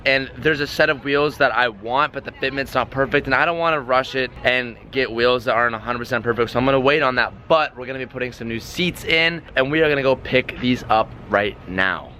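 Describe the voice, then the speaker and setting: A man's voice: mid-range voice, brisk, hardly any pauses. Young male car vlogger, scratchy worn-out voice, casual chatter over faint hum. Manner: excited, chatty. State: hoarse, losing his voice. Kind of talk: casual vlog chat. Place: in a truck, then a garage shop.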